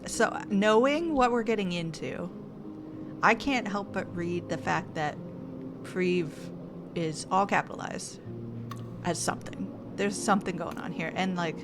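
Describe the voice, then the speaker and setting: A woman's voice: fairly low pitch, measured pace, background noise. A woman streaming a game, chatty and playful, often talking to herself. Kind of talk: playing a game live. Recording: game music loud under voice.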